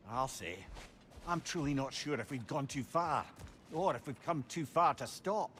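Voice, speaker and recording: A man playing a talkative, wry, loyal companion in a video game, with an expressive, colloquial manner. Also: medium pitch, normal speed, a little hiss or hum, close to an everyday voice. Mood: shaken, wry. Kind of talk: cinematic game acting.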